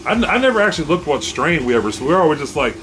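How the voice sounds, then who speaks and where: A man's voice: a little high, sing-song, rapid-fire, noisy background. A man hosting a podcast in laid-back, playful casual chat. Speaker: podcast host.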